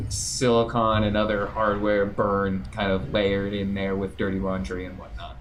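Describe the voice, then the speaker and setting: A man narrating as game master of a tabletop game, relaxed and descriptive, with frequent fillers. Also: lowish voice, normal speed, a little hiss or hum.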